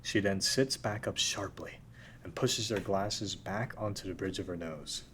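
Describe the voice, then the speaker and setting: Man, narrator, table read, deep voice, plain reading. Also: measured pace, fairly bright tone, slight background noise. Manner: reads stage directions plainly.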